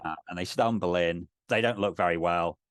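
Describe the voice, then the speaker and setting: Low-voiced middle-aged man narrating a game. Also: normal speed, dry recording, clean studio sound. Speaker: tabletop game player and narrator. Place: indoors on a video call.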